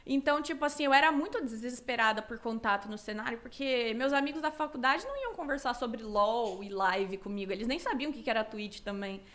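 A woman's voice: fairly high pitch, expressive, a little fast, hardly any pauses, fairly bright tone. A young Brazilian woman from Cuiabá in her twenties, chatting as a streamer guest in slangy talk with frequent laughs. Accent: Brazilian, mixed Cuiabá and São Paulo. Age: 20s. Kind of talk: casual chat.

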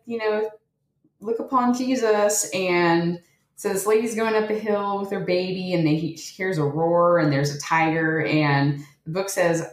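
A woman with a low voice talks through a book in a loose, chatty way, full of "like" and "um". She retells its stories with a dry, sarcastic edge. Her voice rings in an echoey room. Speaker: podcast co-host. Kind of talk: casual book discussion.

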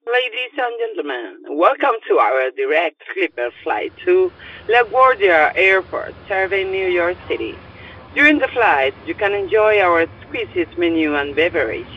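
Un actor que interpreta un anuncio formal de cabina de avión en una radionovela. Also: male voice, high voice, lively intonation, slow, lo-fi sound, heavily acted. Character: formal airline cabin announcer. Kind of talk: scripted flight announcement.

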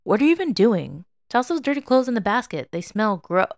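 A woman's voice: a little high, sing-song, brisk, no echo, studio quality, voice pushed up. A woman voicing an irritated, blunt teenage brother with a brusque, bossy manner, in an audio story. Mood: exasperated. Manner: brusque, exasperated. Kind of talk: audio drama fan fiction reading.

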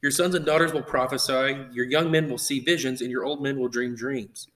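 20 yr old guy talking fast about dreams and faith, eager, lots of uh's. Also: medium pitch, steady tone, fairly bright tone. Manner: eager, explanatory, many uh fillers. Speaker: podcast co-host.

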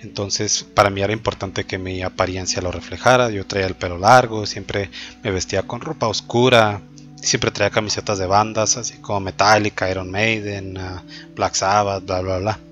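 A man gives a personal faith testimony. He talks reflectively and hesitantly, pausing often on filler words. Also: lowish voice, steady tone, a bit bright. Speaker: testimony speaker. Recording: dull lo-fi with faint background music.

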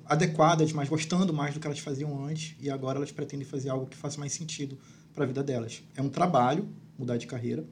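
Hesitant man with a fairly high voice. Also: a little mellow, a little hiss or hum. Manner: hesitant, many fillers and restarts. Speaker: guest psychologist. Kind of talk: casual panel talk.

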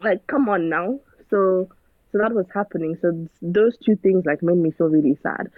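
A woman's voice: on the low side, normal speed, dry recording. A woman, a guest in a casual conversation, talking earnestly and turning preachy, firing off rhetorical questions. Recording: dry, phone-like narrow sound.

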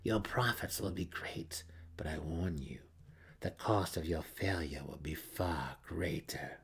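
A man voicing a desperate, imperious dark elf matriarch in a fantasy audiobook, with a breathy, growling whisper and a menacing, commanding manner. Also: sits low, takes it slowly, voice pushed down, full character voice.

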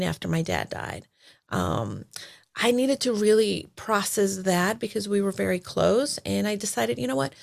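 A woman's voice: medium pitch, vocal fry. A woman from El Salvador, a certified life coach, talking reflectively in a casual interview with many fillers and restarts.

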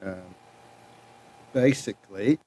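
A man's voice: on the low side, slow. A man hosting his own podcast alone, rambling loosely between clips, swearing and trailing off. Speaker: podcast host. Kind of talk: casual solo podcast chat.

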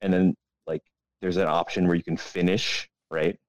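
A man's voice: sits low, flat delivery, moderate pace, no room sound, lo-fi sound. A young man co-hosting a podcast in casual, rambling chat full of profanity and slang. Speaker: podcast co-host.

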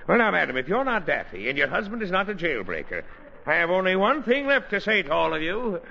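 A man's voice: sits high, wildly swooping pitch, quick pace, gravelly, bright, forceful tone, highs cut off, full character voice. A man playing a bemused, officious policeman with a formal theatrical manner in 1940s radio comedy, on an old recording. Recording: band-limited, noisy 1940s radio recording.

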